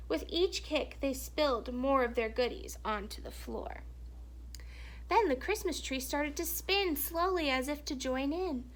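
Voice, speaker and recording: A woman reading a children's story aloud in an expressive, animated storytelling manner with hushed, dramatic phrasing. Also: sits high, poor audio. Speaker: teacher or reader reading a children's picture book aloud.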